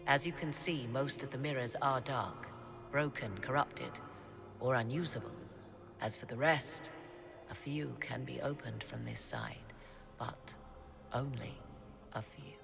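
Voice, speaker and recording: Cryptic fantasy game sorceress, gravelly edge, slow dramatic delivery, sure of herself, music behind. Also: female voice, rumbling low, heavily acted. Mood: enigmatic. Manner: theatrical, deliberate, archaic phrasing. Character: cryptic, self-assured sorceress, adult woman with arcane knowledge.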